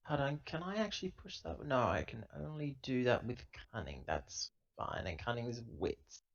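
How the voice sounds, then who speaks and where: Guy playing a tabletop rpg, rambles and thinks out loud, middling pitch, dull lofi laptop audio. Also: big pitch swings, moderate pace. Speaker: player in a tabletop roleplaying game. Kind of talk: casual improvised tabletop roleplay.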